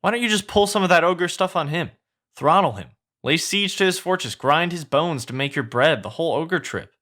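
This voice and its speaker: Man acting out chatty donkey sidekick, excitable, rambling, bright forceful voice, raised pitch, storybook comedy. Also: fairly quick. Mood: incredulous. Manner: rambling, pleading, informal.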